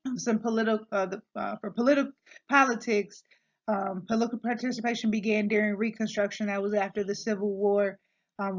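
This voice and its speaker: A woman giving a class presentation, hesitant, with many filler sounds and restarts. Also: mid-range voice. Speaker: student presenter. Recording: dull lo-fi recording.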